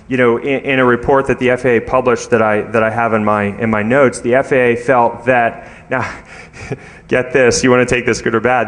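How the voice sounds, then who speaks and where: A man speaking at a conference in an informal, halting way, with lots of filler phrases as he explains technical matters and takes questions. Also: mid-range voice, a bit bright. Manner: hesitant, halting delivery with many filler phrases. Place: conference room, speaking to an audience.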